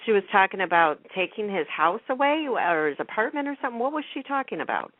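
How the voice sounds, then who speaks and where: A woman's voice: mid-range voice, sing-song, quick pace, no echo. A woman radio host in casual, chatty fan talk, rambling as she tells things. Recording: thin, phone-like radio line.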